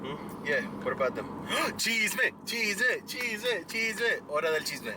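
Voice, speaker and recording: A man's voice: high voice, big pitch swings, slow, background noise. A young man from Ecuador hosting a casual podcast from a car, joking and mixing English and Spanish. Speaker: podcast host.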